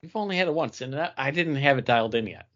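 A man's voice: medium pitch, expressive, quick pace, fairly bright tone. A man talking casually as a podcast co-host. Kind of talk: casual chat. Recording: dull lo-fi podcast audio.

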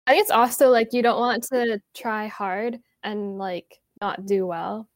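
A woman's voice: mid-range voice, fairly even intonation, measured pace, no room sound, studio quality. A young woman in casual podcast chat, agreeable and asking questions.

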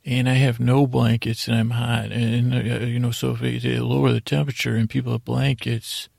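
Male podcast host, very creaky fry, flat delivery, halting and meandering bedtime storytelling. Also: mid-range voice, no room sound, studio quality. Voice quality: gravelly, creaky voice. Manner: halting, meandering, trailing off and restarting mid-phrase. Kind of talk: rambling bedtime storytelling monologue.

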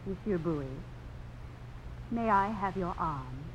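A theatrical young society belle. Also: female voice, lowish voice, expressive, takes it slowly, dull audio, slight background noise. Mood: coquettish, playful. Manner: theatrical, coquettish, haughty. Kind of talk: 1950s radio drama acting. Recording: old radio broadcast with music.

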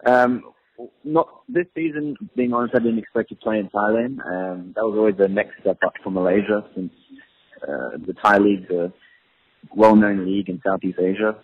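A young man, a professional footballer, answering interview questions in a relaxed, hesitant way with many ums. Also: lowish voice, normal speed, creaky, slightly rough. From Australia. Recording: tinny phone-line audio.

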